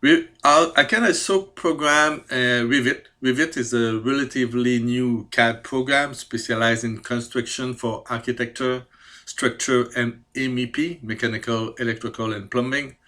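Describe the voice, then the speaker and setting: A man in his fifties from Quebec, a native French speaker with a Quebec French accent, presenting his skills with careful, hesitant speech and many pauses. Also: mid-range voice, steady tone, sharp tone. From Quebec, Canada. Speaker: CAD programmer. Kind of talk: self-presentation video.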